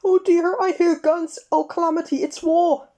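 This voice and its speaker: Woman reader doing a tiny terrified storybook man, high shrill panic, stammers. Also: steady tone, fairly quick, gravelly, warm tone. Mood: panicky. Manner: shrieking, stammering, breathless panic. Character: panicky tiny nervous man, easily frightened.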